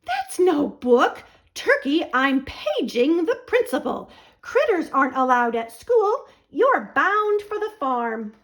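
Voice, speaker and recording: A woman's voice: sits high, very animated, takes it slowly, fairly bright tone, heavily acted. A woman voicing a stern, indignant schoolteacher with emphatic scolding delivery in a children's storybook. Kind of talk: children's storybook read-aloud.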